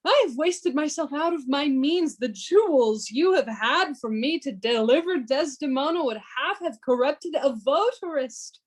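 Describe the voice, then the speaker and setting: A woman playing an aggrieved, gullible gentleman suitor in a Shakespearean radio play, indignant and petulant. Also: high-pitched, lively intonation, measured pace, hardly any pauses.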